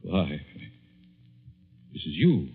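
An actor with a Hungarian accent playing a scheming psychology professor feigning innocence, in deliberate, theatrical 1940s radio style. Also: male voice, a bass voice, sing-song, slightly rough, soft background music, exaggerated voice. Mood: feigned shock. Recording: muffled, narrow-band 1940s radio recording.

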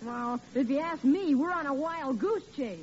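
A woman's voice: high voice, normal speed, lightly acted. A woman playing a cheeky young boy Junior. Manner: wisecracking, needling his father. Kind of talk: 1940s radio acting. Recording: dull lo-fi old radio recording with hiss.

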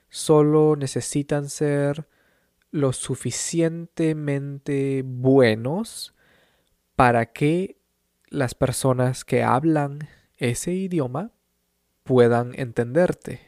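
A man from Peru teaches in an unhurried, deliberate way, letting each phrase land before the next. His tone is calm and reassuring, and he now and then repeats a point in English. The recording is dry and close, with no room sound. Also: mid-range voice, no room sound. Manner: calm and encouraging, with brief English glosses. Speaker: language teacher. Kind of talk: slow, clear teaching monologue.

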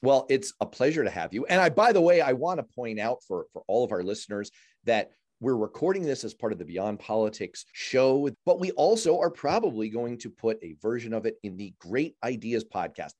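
A man hosting a radio talk show, introducing his guest in a measured, courteous way. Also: medium pitch, sing-song, darkish tone. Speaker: radio and podcast host. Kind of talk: radio interview.

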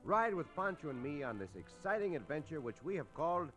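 An actor playing a gallant, courteous Mexican cowboy hero with a Mexican accent in an old-time Western radio drama, speaking in measured, formal phrases. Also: male voice, a little high, very animated, fairly bright tone, faint music behind, rough recording. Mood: dramatic narration.

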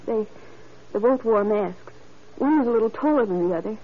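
A woman playing a shaken young murder witness in an old-time radio drama, halting, haunted and dramatic. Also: fairly high pitch, expressive, slightly rough. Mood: halting recollection. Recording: dull, noisy old radio recording.

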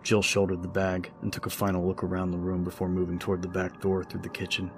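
Very flat, low-voiced male narrator. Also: fast talker, slight background noise. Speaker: audiobook narrator. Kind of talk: scripted horror story narration. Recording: music under the voice. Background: music.

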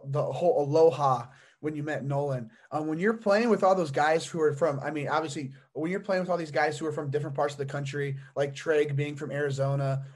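A young man interviews a guest in a relaxed podcast chat. His voice sits a bit high for a man. He winds through questions with "like" and "I mean", restarting as he goes. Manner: rambling questions full of fillers and restarts. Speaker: podcast host. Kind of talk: casual sports interview.

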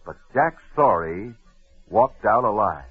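A man's voice: lowish voice, big pitch swings, slow, vocal fry, husky. A man hosting a sports story show, telling tales and reading ads in a punchy, dramatic 1940s radio style. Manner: dramatic, punchy delivery with sudden drops. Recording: tinny old radio broadcast.

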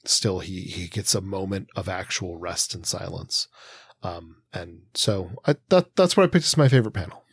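Low-pitched man thinking aloud. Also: normal speed, creaky, breathy edge. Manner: hesitant, thinking aloud, frequent hedging and filler words. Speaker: podcast co-host. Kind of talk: casual discussion of a comic.

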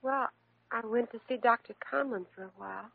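A husky, worried woman patient. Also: a little high, brisk. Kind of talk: 1940s radio acting. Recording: tinny old radio recording.

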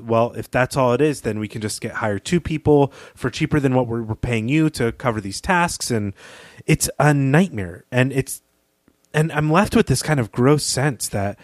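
A man's voice: lowish voice, no echo. A man co-hosting a podcast, talking through a literary analysis in an earnest, emphatic, conversational way. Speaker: podcast co-host.

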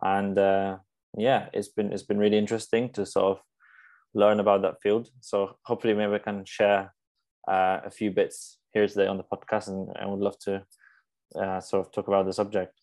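A man's voice: on the low side, level tone, moderate pace, a little mellow. A young man from Poland, a Polish native speaker, talking as a sports psychologist guest on a podcast, thoughtful and hesitant with many fillers and restarts. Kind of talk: casual podcast interview.

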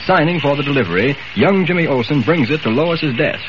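40s radio narrator guy, dramatic suspense, lively pitch swings, noisy muffled old recording. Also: brisk. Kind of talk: 1940s radio narration, scripted.

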